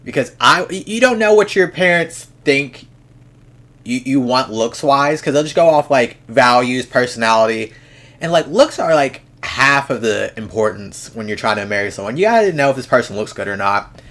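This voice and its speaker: A man's voice: mid-range voice, expressive, normal speed, a bit bright. Young man rambling in a reaction video. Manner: rambling, frank, swears freely, many restarts. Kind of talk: casual reaction commentary.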